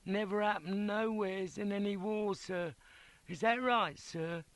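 A weak young wounded soldier speaks with awe in a high, croaky whisper. His accent is working-class English, and the audiobook sound is very dry. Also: fairly even intonation, a little slow, a bit bright, dry recording. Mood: weak, awed.

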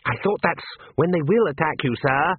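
A man's voice: on the high side, moderate pace, phone-quality sound, slight background noise, pitched above the performer's own voice, natural voice. A man voicing an anxious, sensible young wizard with a nervous, practical manner in a comic audiobook. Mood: urgent worry.

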